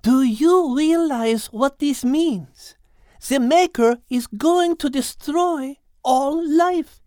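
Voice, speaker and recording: A man voicing a thoughtful, grave small female animal in a storybook, explaining things in a solemn, deliberate way. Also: extremely high pitch, a little mellow, dry recording, clean studio sound, pitched above the performer's own voice. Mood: grave realization.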